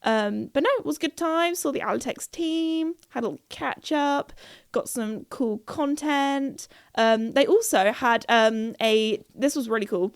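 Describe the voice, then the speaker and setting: A young woman hosting a casual solo podcast, rambling between topics with lots of fillers, her voice slightly croaky from a cold. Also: fairly high pitch, a little slow, no echo, clean studio sound. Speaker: podcast host.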